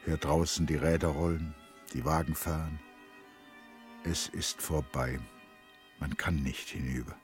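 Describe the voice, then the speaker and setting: Deep-voiced, sombre male narrator. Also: fairly even intonation, a little slow, long pauses, faint music behind. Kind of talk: literary scripted radio drama narration.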